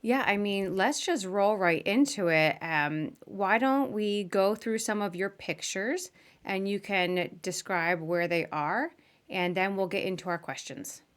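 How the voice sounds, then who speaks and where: A woman's voice: mid-range voice, a little slow, clean tone. A woman hosting a podcast in a chatty, informal way, as in a casual live interview. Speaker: podcast host.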